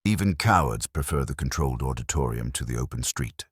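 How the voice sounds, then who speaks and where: A man's voice: extremely low pitch, expressive, brisk, breathy edge, dry recording, clean studio sound, exaggerated voice. A man voicing an imperious, precise detective genius with a formal, pompous, commanding manner in a mystery story. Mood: dry certainty.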